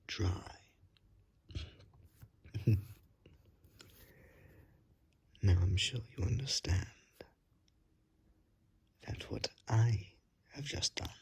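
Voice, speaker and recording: Someone putting on a slow, whispering, menacing vampire. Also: mid-range voice, full character voice. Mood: smug, darkly polite. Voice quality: breathy, husky whisper with creaky edges. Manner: slow, coaxing, politely threatening. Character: menacing, hungry, courteous vampire, smugly polite. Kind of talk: whispered ASMR roleplay.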